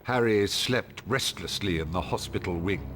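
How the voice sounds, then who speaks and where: An actor playing a grave narrator, telling a game's story in measured, solemn scripted narration. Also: male voice, low voice, very noisy, close to an everyday voice. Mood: ominous, measured.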